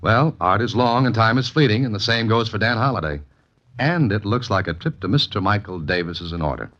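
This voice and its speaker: An actor playing a laconic, wisecracking writer-adventurer with an American accent, narrating a 1940s radio mystery. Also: male voice, lowish voice, animated, a little fast, a bit bright, a little hiss or hum. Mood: dry, bantering. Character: dry, wry writer and amateur adventurer, male narrator. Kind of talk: 1940s radio mystery acting, hard-boiled first-person narration. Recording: muffled 1940s radio recording with music.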